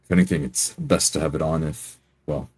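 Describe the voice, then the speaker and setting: A man's voice: extremely low pitch, fairly quick, a little mellow, slightly rough. A man playing a tabletop roleplaying game, tersely narrating his character's actions.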